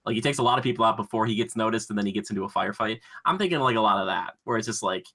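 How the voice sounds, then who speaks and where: A man co-hosting a podcast in casual chat, pitching his ideas in an excitable, slangy, rambling way. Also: racing. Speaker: podcast co-host.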